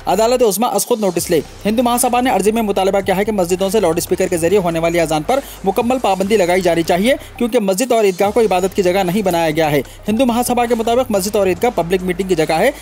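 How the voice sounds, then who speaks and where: A man's voice: high-pitched, fast talker, few pauses, darkish tone, a little hiss or hum. A man reading scripted news in a formal register, announcing headlines and reports as a presenter. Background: background music.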